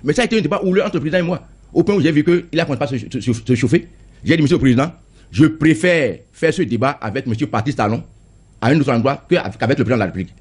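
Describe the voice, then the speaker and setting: A man's voice: medium pitch, rapid-fire, slightly rough. A man, a member of parliament arguing in a heated political debate, insistent and often repeating and stammering on words.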